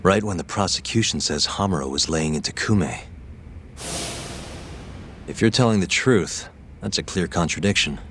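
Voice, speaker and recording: Cool, low-voiced detective. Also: male voice, a bit bright, a little hiss or hum. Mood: thoughtful, persistent. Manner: measured, probing, matter-of-fact. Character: calm, persistent male private detective, cool and tough. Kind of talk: English video game dub acting.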